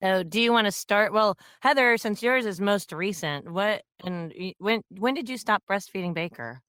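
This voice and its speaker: A woman in her thirties or forties co-hosting a casual podcast, a little hoarse from a cold and laughing often. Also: medium pitch, moderate pace, fairly bright tone, no room sound, clean studio sound. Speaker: podcast co-host. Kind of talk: casual chat.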